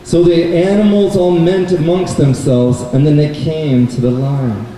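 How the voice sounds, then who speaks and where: Slow, dark-toned man storytelling in echoey hall. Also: fairly high pitch, steady tone. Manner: simple, deliberate, repeats words for children. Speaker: storyteller and puppeteer. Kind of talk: children's storytelling at a live puppet show. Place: public event space indoors, far from mic. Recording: very echoey noisy hall, distant mic.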